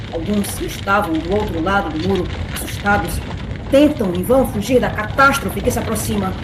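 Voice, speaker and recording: A woman's voice: medium pitch, echoey room, noisy background. A woman with a Brazilian accent giving dramatic scripted narration of an epic tale. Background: music.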